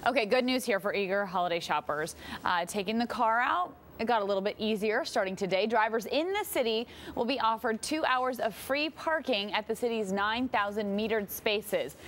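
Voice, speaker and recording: A woman's voice: medium pitch, a little fast, fairly bright tone, slight background noise. A woman with an American accent presenting morning television news as an anchor, with some light banter.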